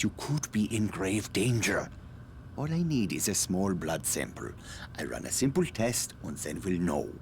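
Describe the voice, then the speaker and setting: Video game scientist: low voice, formal and precise, politely condescending, slippery, ambient noise underneath. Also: male voice, a little mellow, full character voice. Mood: coaxing. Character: evasive self-justifying male scientist, polite and condescending. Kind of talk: dramatic video game cutscene acting.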